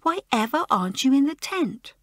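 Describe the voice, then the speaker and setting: A woman's voice: high-pitched, very animated, moderate pace, a bit bright, no room sound, studio quality, pitched above the performer's own voice. A woman voicing a worried, whispering schoolgirl. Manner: urgent whispering. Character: kind, worried schoolgirl comforting her friend.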